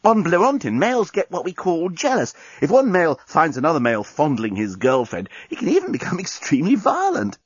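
A man voicing an amorous, excitable alien journalist in a comic sci-fi audiobook, earnestly explaining and protesting. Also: a little high, brisk, few pauses, breathy edge, dry recording, lo-fi sound, voice pushed up, heavily acted. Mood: earnest, aroused. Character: amorous male alien journalist, pleading, excitable, self-important. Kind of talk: comic science fiction audiobook.

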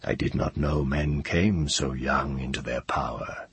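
An immense old dragon from a fantasy storybook speaks through a male reader in a rock-bottom, dry voice. The delivery is slow and hushed, thick with breath and husk, with a sly, taunting patience. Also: very deep voice, breathy edge, no echo, heavily acted. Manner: slow, quiet, deliberate. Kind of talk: audiobook fantasy character voice.

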